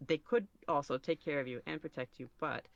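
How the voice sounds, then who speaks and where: A woman's voice: sits low, brisk, creaky, no echo. A woman chatting casually as an interview guest, hesitant, rambling and self-deprecating, on a dull, muffled recording. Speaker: podcast guest, ASMR roleplay creator.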